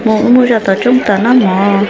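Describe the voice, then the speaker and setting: A woman's voice: mid-range voice, steady tone, moderate pace, lightly acted, low-quality recording. A woman voicing an adult woman in a folk-tale cartoon, in plain conversational speech. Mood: talking. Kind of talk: cartoon voice acting. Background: music.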